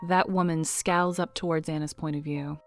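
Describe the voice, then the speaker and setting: A woman narrating an audio drama in a measured, dramatic storytelling manner. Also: low voice, steady tone, faint music behind.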